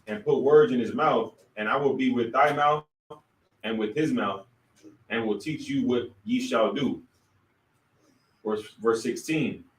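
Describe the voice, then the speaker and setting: A man reading scripture aloud in a slow, measured way, recorded from far off in a roomy space. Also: mid-range voice. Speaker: scripture reader in class.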